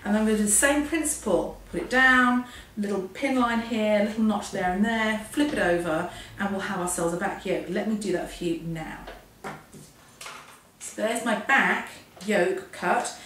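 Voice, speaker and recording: A hoarse woman walks through a sewing lesson step by step, chatty and self-deprecating. She stands well back from the mic in a very echoey workroom. A faint hiss sits under her voice. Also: medium pitch, moderate pace, a bit bright, far from the mic. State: hoarse, losing her voice from a cold. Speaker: sewing instructor. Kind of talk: hands-on sewing tutorial.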